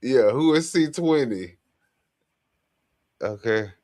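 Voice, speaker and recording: Slow-talking man with short reactions. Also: medium pitch, expressive, a little mellow. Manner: brief reactive interjections. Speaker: podcast co-host. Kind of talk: casual commentary on a video clip.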